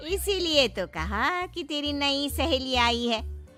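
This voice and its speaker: A woman voicing a chatty, teasing woman in a Hindi family cartoon story, with expressive delivery. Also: high-pitched, slow pace, over music.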